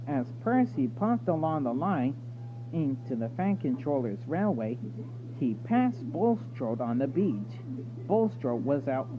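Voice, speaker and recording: A man's voice: mid-range voice, very animated, slow pace. A man reading a children's story aloud as narrator. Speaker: story reader. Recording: narrow phone-like sound with loud noise and hum.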